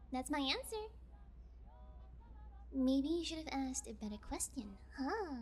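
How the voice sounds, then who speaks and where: A woman's voice: high-pitched, a little mellow, a little hiss or hum, exaggerated voice. A woman playing a sadistic, playful female kidnapper in an intimate ASMR audio roleplay, teasing with a sing-song menace. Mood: teasing, impatient.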